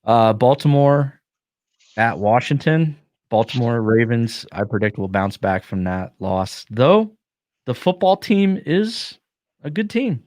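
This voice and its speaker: A man's voice: medium pitch, animated, takes it slowly, a little mellow, no room sound, studio quality. A man hosting a casual sports podcast, giving opinionated, joking football picks while losing his voice. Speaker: podcast host.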